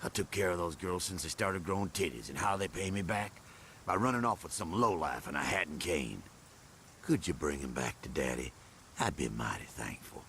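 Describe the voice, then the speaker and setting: Game pimp: deep, sharp, swooping delivery, wheedling menace, faint noise. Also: male voice, animated, normal speed. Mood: brooding, wheedling. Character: menacing, smooth-talking male pimp. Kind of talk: video game cutscene acting.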